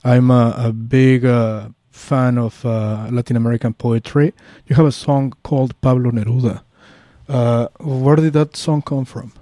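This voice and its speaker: Brief: Mexican man hosting in English, halting and friendly-paced questions, mellow voice. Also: medium pitch, steady tone, no room sound, studio quality. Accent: Mexican Spanish. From Mexico City. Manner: halting, many uhs, repeats "excellent". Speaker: radio show host. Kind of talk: interview.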